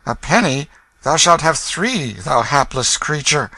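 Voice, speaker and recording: A man voicing a fussy, kindly old gentleman who speaks in high, fluttering exclamations. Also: expressive, unhurried, breathy edge, no echo, heavily acted. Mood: eager kindness.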